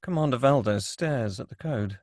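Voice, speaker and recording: A man's voice: mid-range voice, animated, normal speed, a little mellow, dry recording, studio quality. A man reading screenplay action and scene directions as scripted narration at a table read.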